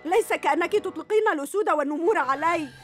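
A woman's voice: very high voice, fast talker, fairly bright tone, soft background music. A woman voicing a brave young boy cat hero in a dubbed cartoon. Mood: bold.

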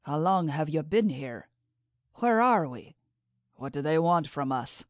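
Woman as a wry, low-voiced male prisoner. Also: expressive, a little slow, no room sound, clean studio sound. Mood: urgent, questioning. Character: defiant, questioning male human prisoner.

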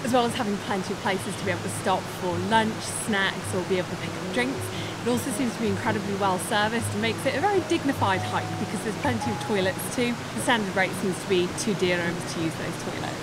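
A woman's voice: mid-range voice, fast talker, low-quality recording. A woman in her thirties, talking to a phone camera held at arm's length outdoors on a mountain trail, telling the story of her hike in a chatty, upbeat way. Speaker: travel vlogger. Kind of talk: hiking vlog narration. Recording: music in the background.

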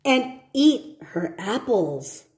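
A woman reading a children's storybook aloud, with simple, deliberate phrasing and short asides for young listeners. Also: a little high, very animated, darkish tone, roomy sound. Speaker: storybook reader. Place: indoor room at home.

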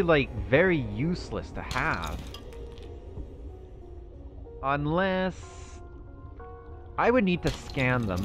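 Slow, lively-toned man musing while gaming. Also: mid-range voice. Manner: musing aloud to himself, nervous outbursts. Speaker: gaming YouTuber roleplaying a character. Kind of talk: in-character gameplay commentary.

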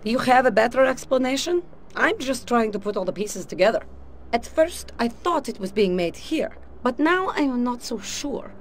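A woman's voice: a little high, animated, normal speed, slight background noise. Female Russian KGB spy, Russian accent, cool dry delivery, sultry and lethal, game cutscene, faint ambience behind. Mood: serious. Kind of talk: video game cutscene acting.

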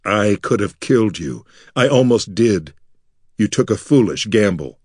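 A man's voice: animated, measured pace, a little mellow, husky, no room sound, clean studio sound. Man voicing a grim, brooding half-orc. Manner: low, grim, blunt.

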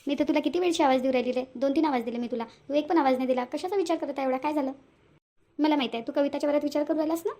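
A woman's voice: high-pitched, very fast, mellow. A woman voicing a scheming, wheedling young cartoon character who repeats herself, speaking Marathi with a Varhadi accent from Vidarbha. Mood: sweetly persuasive. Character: scheming young woman sweet-talking for money. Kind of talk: amateur cartoon voice-over.

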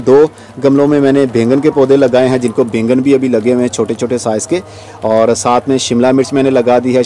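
A man with a mellow, relaxed voice talks casually as he hosts a gardening vlog. His pitch sits in the middle range. He is recorded outdoors on a handheld camera, with faint ambient noise behind him. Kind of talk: casual vlog walkthrough narration. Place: outdoors on rooftop terrace garden in rain. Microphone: handheld camera microphone. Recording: outdoor ambient noise, light urban background.

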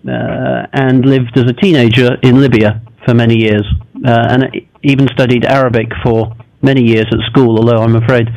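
A man's voice: medium pitch, darkish tone, low-quality recording. A man, giving an academic lecture at a desk microphone, hesitant and self-deprecating. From Singapore. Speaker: guest lecturer.

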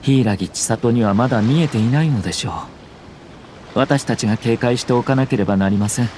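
A man's voice: medium pitch, fairly quick, mellow, a little hiss or hum, close to an everyday voice. An actor playing a shrewd young nobleman in a video game, speaking in a polite, composed, formal manner. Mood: measured, analytical. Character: courteous, shrewd young nobleman and clan head. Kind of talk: video game character dialogue.

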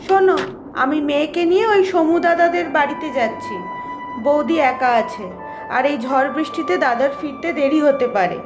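A woman's voice: sits high, normal speed, lo-fi sound, natural voice. A woman playing a chatty village housemaid and nagging wife in a cartoon, with a sceptical, familiar manner. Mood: familiar, brisk. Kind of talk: Bengali cartoon voice acting. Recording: roomy echo, loud music bed. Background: music.

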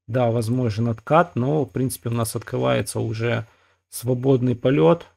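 Мужчина с хрипловатым низким тембром. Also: male voice, mid-range voice, creaky, mellow. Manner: casual, many fillers, addresses viewers as guys. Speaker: crypto market analyst, channel host. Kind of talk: screen-recorded chart analysis.

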